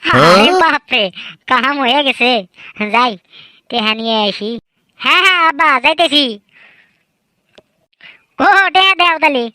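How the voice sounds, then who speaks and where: A woman as a bright, high-pitched scheming village boy. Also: a little slow, long pauses, dry recording, clean studio sound. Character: sly, boastful, lazy teenage village son. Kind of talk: comedy cartoon voice acting.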